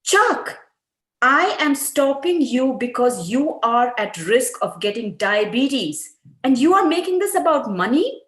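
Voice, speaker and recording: A woman's voice: high voice, sing-song, unhurried, fairly bright tone, full character voice. A woman in an amateur table read playing a firm, earnest doctor wife who scolds her husband about his health. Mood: upset, hurt. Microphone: video call. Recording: roomy, echoing video-call audio.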